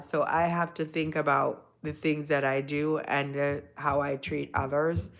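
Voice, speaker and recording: Deep-voiced woman on a live stream, jamaican roots, chatting w viewers, repeats herself a lot. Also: fairly bright tone. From Jamaican descent, lives in the US. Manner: reflective, talks to chat viewers by name, repeats phrases. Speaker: livestream host. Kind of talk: casual live chat with viewers.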